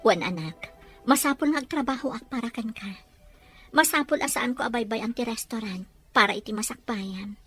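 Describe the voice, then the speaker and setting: A woman's voice: on the high side, moderate pace, a bit bright, breathy edge, soft background music. A woman playing an anxious young mother. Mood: tender. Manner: anxious, tender.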